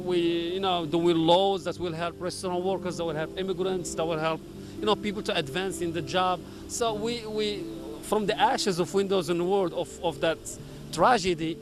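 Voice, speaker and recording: A man's voice: high voice, noisy background. A man from Morocco, a community leader, speaking earnestly in an interview with frequent restarts. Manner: earnest, halting with repeated restarts. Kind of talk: recorded interview.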